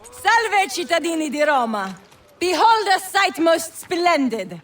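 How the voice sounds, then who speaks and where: A woman's voice: very high voice, very animated, slow, fairly bright tone, distant mic, a little hiss or hum, heavily acted. A woman playing a haughty, vindictive Italian noblewoman, declaiming and gloating theatrically to a crowd in a video game.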